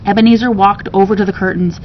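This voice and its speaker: A woman narrates a children's book expressively, in a medium-pitched voice. She reads as a storyteller to young listeners. The recording is a little dull, with faint rumbling noise behind her. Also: steady tone, fast. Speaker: story reader.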